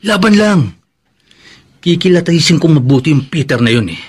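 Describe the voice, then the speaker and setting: A cocky Filipino schemer in a radio drama, loyal to his pal. The voice is husky and fairly high for a man, brash and conspiratorial. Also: expressive, normal speed. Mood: cocky, egging on. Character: cocky, scheming, loyal young male would-be brother-in-law.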